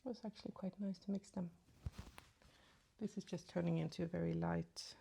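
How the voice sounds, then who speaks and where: A woman chatting casually through a makeup tutorial, speaking softly and often half-whispering, with a slight cold and a sore throat. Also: fairly low pitch, steady tone, a little fast, creaky, slightly rough. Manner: soft, often half-whispered asides while applying makeup. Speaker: beauty vlogger. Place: at home in front of a mirror.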